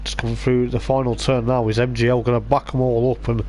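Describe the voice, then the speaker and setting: Hoarse guy commentating a race live, lots of uhs, kinda noisy stream audio. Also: medium pitch, flat delivery, fast talker, darkish tone. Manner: rambling, filler-heavy, hesitant. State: voice going hoarse. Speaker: sim racing league commentator.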